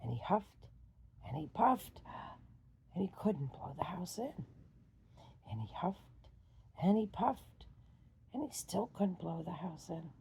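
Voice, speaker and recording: A woman storyteller improvising a bedtime fairy tale in simple, halting, sing-song phrases. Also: fairly low pitch, lively intonation, creaky, darkish tone, a little hiss or hum.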